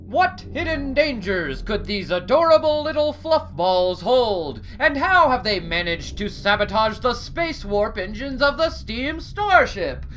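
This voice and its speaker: A woman's voice: fairly high pitch, very animated, unhurried, few pauses, a bit bright, soft background music. A woman narrating a scripted serial in a dramatic storytelling style. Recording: dull lo-fi sound with faint noise.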